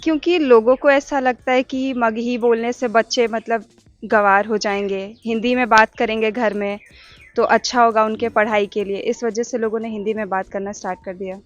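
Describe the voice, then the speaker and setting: A woman's voice: a little high, fairly even intonation, moderate pace, muffled recording, soft background music. A woman answering an interview in a plain, matter-of-fact way.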